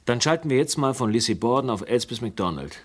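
An actor playing a shrewd, dryly ironic investigator in a German radio crime drama. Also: male voice, medium pitch, expressive, quick pace, slightly rough. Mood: insistent. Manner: dry, ironic, articulate. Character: shrewd, wry male investigator, dryly teasing, persistent.

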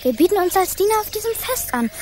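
A plucky child in a medieval radio drama for young listeners. The voice is high, eager and decisive, with clear background noise. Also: female voice, big pitch swings, fast, a little mellow. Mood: determined. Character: bold, determined, teasing medieval child. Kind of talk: German children's radio play acting.

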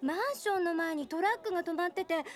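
Very high-voiced chatty young woman. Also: racing. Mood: excited gossip. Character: flighty, nosy young woman hooked on eavesdropping. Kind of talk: radio drama acting, casual conversational Japanese.

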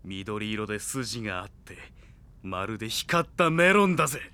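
Tough high school delinquent, creaky gruff voice, cool and defiant, audio drama. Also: male voice, big pitch swings, moderate pace, bright, forceful tone, heavily acted. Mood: grim. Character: tough, gruff teenage male delinquent hero, coolly defiant. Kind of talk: theatrical Japanese audio drama acting.

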